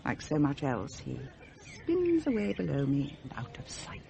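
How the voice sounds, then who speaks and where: A woman's voice: sits low, wildly swooping pitch, measured pace, gravelly, warm tone, a little hiss or hum, full character voice. An actress playing a restless middle-aged upper-class wife and mother in a radio drama, arch, precise and scornful. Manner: arch, precise, witty wording.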